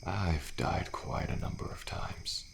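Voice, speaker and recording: A man playing a weary, friendly male survivor in an ASMR audio roleplay, speaking in a soft, hushed, reassuring way with a deep, gravelly voice. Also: slow pace, heavily acted. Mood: calm, resigned. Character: weary, friendly male survivor of deadly trials, resigned yet hopeful. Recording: faint night insect ambience behind.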